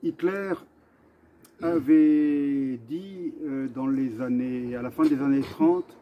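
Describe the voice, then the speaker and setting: A man hosting an earnest commemorative address to camera, speaking deliberately with halting pauses and repetitions. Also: mid-range voice. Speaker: video host and association vice-president. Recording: dull, muffled lo-fi sound.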